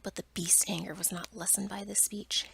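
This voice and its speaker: A woman reading a bedtime story aloud in ASMR style, in a soft, breathy whisper with careful, hushed delivery. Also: mid-range voice, level tone, moderate pace, background noise.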